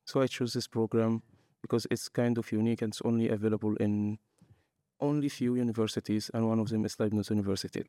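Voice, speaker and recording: A man's voice: on the low side, steady tone, quick pace, dark tone, no echo, clean studio sound. A young man from Egypt, a master's student guest earnestly explaining his choices step by step in a podcast interview. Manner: earnest, explains step by step in non-native English.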